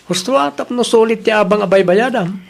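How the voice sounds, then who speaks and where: A man's voice: sits high, brisk, vocal fry. A man with an Ilocano accent playing an easygoing, helpful father in a family audio drama. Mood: curious, chatty.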